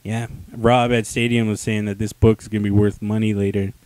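A man's voice: fairly low pitch, little pitch movement, normal speed, darkish tone, dry recording. A young man hosting a podcast, chatting casually about comics into a handheld microphone. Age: young adult. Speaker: podcast host. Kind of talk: casual comic book review chat. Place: small room, indoors.

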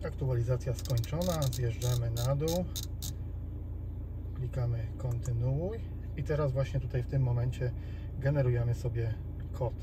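A man's voice: mid-range voice, normal speed, long pauses. Polish man explaining, over car noise. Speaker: host of a car DIY tutorial channel. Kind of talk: casual step-by-step tutorial narration. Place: inside a car. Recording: clear background noise, car ambience.

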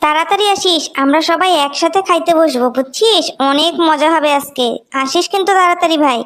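A woman's voice: extremely high pitch, fairly quick, a bit bright. A woman with a Bangladeshi accent voicing a meek, wistful young sister in a cartoon story. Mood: happy, hopeful. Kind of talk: animated moral story dialogue.

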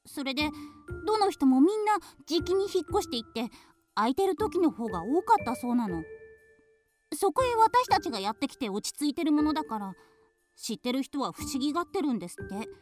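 A woman's voice: high-pitched, big pitch swings, a little fast, soft background music. An actress playing a chatty, spirited schoolgirl daughter in a radio drama, with a girlish manner. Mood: gossipy, proud.

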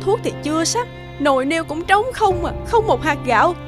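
A woman's voice: extremely high pitch, lively intonation, normal speed. A woman voicing an emotional, reproachful but kind-hearted village neighbour in a children's folk-tale cartoon. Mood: shocked, scolding. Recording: music behind the voice.

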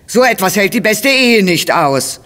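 An actress playing a kindly, doting, gossipy old cook in a radio play. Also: female voice, mid-range voice, very animated, unhurried, a bit bright. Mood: sorrowful, defensive. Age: older. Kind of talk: German radio play acting.

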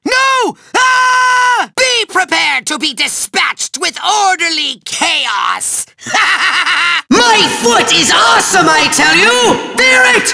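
A man voicing a whiny, megalomaniac evil sorcerer in a video game, ranting in a shrill, gravelly screech. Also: expressive, slow pace, few pauses, pushed and bright, raised voice, full character voice. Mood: gloating, ranting. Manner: ranting, grandiose, hammy. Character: small, giant-egoed evil sorcerer villain, whiny and megalomaniac. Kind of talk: video game villain voice lines. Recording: echoey cavernous reverb, music behind.

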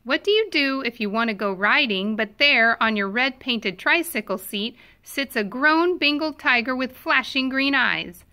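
A woman reads a children's storybook aloud in a fairly high, very bright voice. Her delivery is expressive and animated, with clear emphasis, as if for young listeners. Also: a little slow, hardly any pauses. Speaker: picture book reader.

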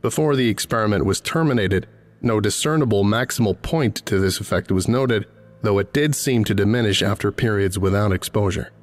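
A man reading scripted documentary-style narration in a formal, clinical, measured way. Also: lowish voice.

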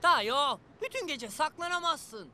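Boisterous, bright, high-pitched cartoon bus. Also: female voice, animated, measured pace, slight background noise, exaggerated voice. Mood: challenging. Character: boisterous, competitive young talking bus, childlike. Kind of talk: animated children's cartoon dub.

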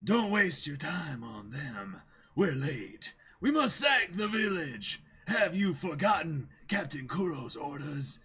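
A man's voice: a little high, very animated, takes it slowly, pushed and bright, breathy edge, full character voice. A man voicing an angry, intimidating male pirate captain in a manga dramatic reading, barking orders. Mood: threatening. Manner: intimidating, commanding.

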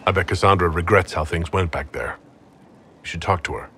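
Calm low-voiced fantasy hero. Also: male voice, slight background noise. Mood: gentle concern. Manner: measured, gentle, supportive. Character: calm, reassuring young-to-middle-aged male fantasy leader. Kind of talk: video game dialogue acting.

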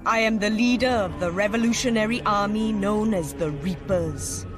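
A woman's voice: medium pitch, lightly acted. Actress as commanding female revolutionary leader. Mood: proud, guarded. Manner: deliberate, rhetorical. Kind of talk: video game cutscene acting. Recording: loud music behind.

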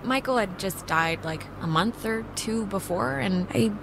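A woman's voice: medium pitch, lively intonation, a little slow, gravelly, background noise. A young woman playing a dry, sardonic American teenage girl with a hesitant, casual delivery in a video game. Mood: wry. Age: young adult. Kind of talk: naturalistic video game dialogue.

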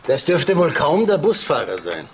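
An actor playing a suspicious detective sidekick with a dry, sardonic way of talking in a crime radio drama. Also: male voice, a little high, wildly swooping pitch, normal speed, a little hiss or hum.

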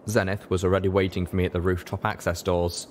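A man's voice: deep voice, flat delivery, fast talker, slight background noise. A man reading scripted fiction aloud as an audiobook narrator. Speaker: audiobook reader.

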